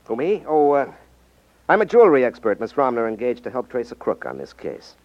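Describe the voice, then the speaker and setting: An actor playing a confident, cool-headed detective in 1950s radio style, firm and wry, on a muffled old recording. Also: male voice, mid-range voice, big pitch swings, a little fast, dry recording. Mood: composed. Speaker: radio drama actor, lead.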